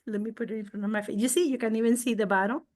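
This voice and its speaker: A middle-aged woman from the Canary Islands with a Spanish accent, a native Spanish speaker, explaining and demonstrating informally in expressive non-native English. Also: fairly high pitch, very fast. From Tenerife, Canary Islands, Spain. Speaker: guest craft and culture presenter.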